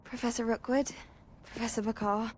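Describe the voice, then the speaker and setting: A woman's voice: on the high side, level tone, normal speed, slight background noise, natural voice. A young woman voicing an earnest, curious teenage witch student in a video game, speaking politely and formally. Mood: polite, grateful. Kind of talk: video game cutscene acting.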